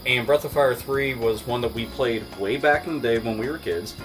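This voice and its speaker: A man's voice: medium pitch, moderate pace, over music, rough recording. A man in his thirties hosting a casual chat among friends, swearing freely and laughing often as he talks. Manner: profane, animated, laughing often. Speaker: host. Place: indoor room with wood wall backdrop.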